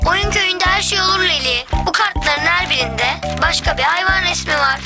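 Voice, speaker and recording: A woman's voice: very high voice, normal speed. A woman voicing a playful, teasing game guide in a preschool cartoon, explaining things to children. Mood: explaining, cheerful. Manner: explains rules clearly to children, mischievous. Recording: music behind the voice.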